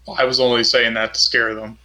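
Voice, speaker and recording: A man's voice: mid-range voice, little pitch movement, quick pace, pushed and bright, soft background music, low-quality recording. A man playing a tabletop role-playing game, chatting casually in character with the other players.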